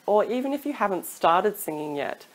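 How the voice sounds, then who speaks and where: A woman's voice: medium pitch, very animated, moderate pace, low-quality recording. A woman in her thirties, a singing teacher giving chatty, encouraging advice to camera from a small room at home, laughing now and then. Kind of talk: casual advice vlog to camera. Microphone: studio mic off camera.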